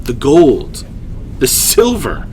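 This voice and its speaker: Man doing an excitable greedy little adventurer, pitched up. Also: slow, a little hiss or hum. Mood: greedy glee. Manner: exclaiming eagerly.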